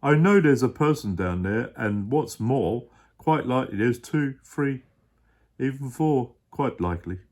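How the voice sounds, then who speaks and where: A man voicing a gentle, kind-hearted male elephant, reading a storybook aloud in an earnest, pleading way. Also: mid-range voice, expressive, measured pace. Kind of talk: reading a picture book aloud.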